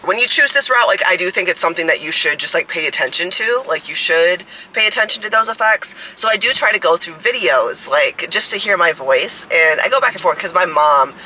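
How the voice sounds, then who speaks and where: Young woman in her twenties, deep raspy voice, forceful edge, rambly filler-heavy vlog talk, faint traffic hum behind. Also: lively intonation, brisk, hardly any pauses, poor audio. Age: 20s. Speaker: fitness coach and creator. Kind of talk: casual vlog talk to camera.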